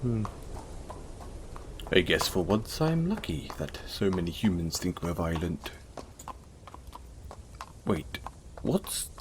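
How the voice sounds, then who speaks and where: A man playing a gentle, caring, self-conscious centaur in an intimate audio roleplay, speaking in a soothing, hesitant, apologetic way. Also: low-pitched, sing-song, slow, pauses often, background noise, exaggerated voice. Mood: worried, hesitant.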